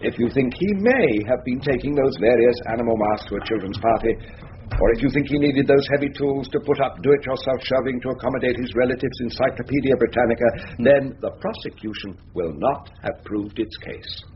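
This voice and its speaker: An actor playing a deferential, ambitious middle-aged barrister with an upper-class English accent in a radio drama. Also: male voice, mid-range voice, a little fast, natural voice. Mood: earnest, formal. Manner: hesitant, stammering, eager to please. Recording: dull radio recording, faint noise.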